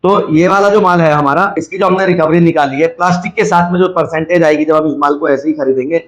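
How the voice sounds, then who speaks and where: A man's voice: on the high side, fairly even intonation, fast talker. A man talks through a practical demonstration. He explains each step in an instructional way, going back over key points. Faint music plays underneath him. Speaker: video host, scrap recycler. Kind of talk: hands-on demonstration with running explanation.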